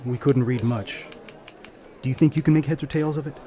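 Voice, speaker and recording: Male actor as police detective sergeant, radio drama, brisk, puzzled, emphatic, mellow dark tone. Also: mid-range voice, natural voice. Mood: puzzled, businesslike.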